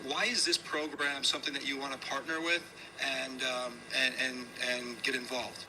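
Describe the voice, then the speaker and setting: A man interviewing a guest before a room audience in a casual, flattering, chatty way. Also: mid-range voice, normal speed. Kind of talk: onstage conversational interview. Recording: noisy room ambience.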